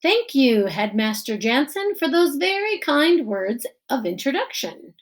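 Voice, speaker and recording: A woman voicing a middle-aged male school principal giving an earnest graduation speech in a children's novel, measured and emphatic with deliberate pauses. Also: high voice, very animated, voice pushed up. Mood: formal, welcoming. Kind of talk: children's novel read-aloud.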